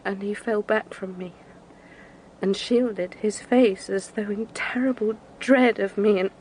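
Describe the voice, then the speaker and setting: An actress playing a distressed, haunted young gentlewoman in an audio drama, speaking in a trembling, halting, earnest way. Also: medium pitch, steady tone, slight background noise, close to an everyday voice, poor audio. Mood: troubled recounting.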